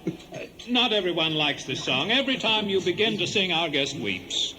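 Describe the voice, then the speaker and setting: High-pitched, fussy, jovial king. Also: moderate pace. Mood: fussy, curious. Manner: stammering, bumbling, excitable. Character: Alcinous, jovial, fussy king hosting a feast. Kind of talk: 1970s radio play acting. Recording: reverberant hall with crowd behind.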